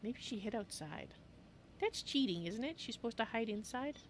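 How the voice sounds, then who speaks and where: A woman's voice: fairly high pitch, lively intonation, moderate pace, a little hiss or hum. A woman playfully musing aloud as she narrates her video game roleplay in character.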